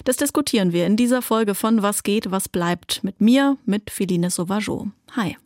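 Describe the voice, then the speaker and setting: A woman's voice: medium pitch, normal speed, a little mellow, dry recording, clean studio sound. A woman hosting a news podcast, narrating from a script and asking her guests questions. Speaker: podcast host.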